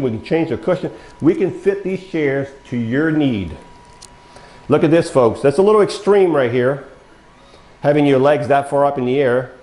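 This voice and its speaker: An older man demonstrating a power wheelchair in a showroom, talking folksy and step by step to viewers he calls folks, his voice going hoarse with coughs. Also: on the high side, warm tone. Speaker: mobility dealer demonstrating a wheelchair. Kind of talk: product demonstration talk. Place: large bare showroom studio, indoors. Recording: echoey room.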